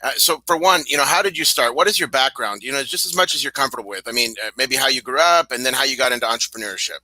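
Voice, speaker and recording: A man's voice: medium pitch, quick pace, sharp tone, low-quality recording. A man hosting a live interview show, upbeat and encouraging, a voice people call a radio voice. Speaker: show host.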